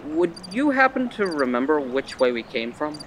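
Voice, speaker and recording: A man's voice: on the high side, very animated, normal speed, a bit bright, slight background noise. A man playing a bossy, defensive young older brother in a dramatic audio drama. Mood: exasperated. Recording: faint outdoor night ambience, crickets.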